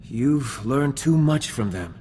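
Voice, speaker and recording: A man's voice: medium pitch, animated, slow, a little mellow, slight background noise. An actor playing an idealistic male politician in a video game, formal and oratorical, earnest and dignified. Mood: firm. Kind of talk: video game dialogue acting. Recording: long hall reverb, music behind.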